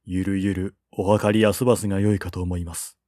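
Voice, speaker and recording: A man as a quiet, low-voiced shrewd strategist. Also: level tone, brisk, dry recording, clean studio sound. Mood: calm, cautioning. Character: calm, shrewd male strategist counselling his lord.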